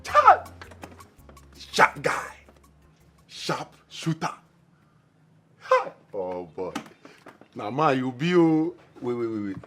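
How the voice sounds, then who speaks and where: An actor with a Nigerian Pidgin accent playing a young man who teases his friend with excitable exclamations. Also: medium pitch, slow, long pauses, music in the background. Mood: teasing.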